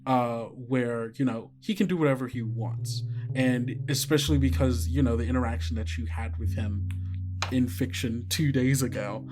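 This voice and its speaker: A man's voice: mid-range voice, steady tone, normal speed, over music. A man speaking casually and agreeably as the game master of a tabletop roleplaying game. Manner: agreeable, conversational, often affirming players.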